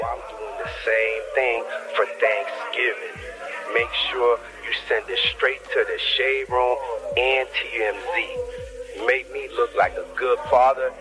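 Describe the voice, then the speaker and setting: A man's voice: medium pitch, steady tone, measured pace, low-quality recording. A man talking in slangy, veiled, insinuating conversation. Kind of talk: slangy phone-call conversation. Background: music.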